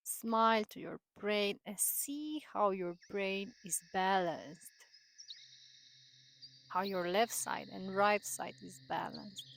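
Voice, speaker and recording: A woman leading a guided meditation in a soothing, gentle manner, with drawn-out phrases and long pauses. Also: mid-range voice. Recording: voice over prominent background music. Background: meditation music.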